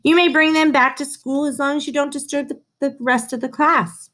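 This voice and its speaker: A woman's voice: high-pitched, fairly even intonation, normal speed, pushed and bright, voice pushed up. A woman voicing a kindly, enthusiastic male schoolteacher in a storybook, upbeat and encouraging.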